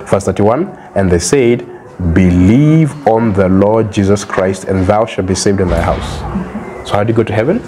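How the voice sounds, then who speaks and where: A young Kenyan man witnessing outdoors at a building entrance, earnestly quoting Bible verses slowly, recorded by a nearby camera with street noise. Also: lowish voice. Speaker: street evangelist. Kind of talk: doorstep soulwinning conversation. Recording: noisy outdoor ambience.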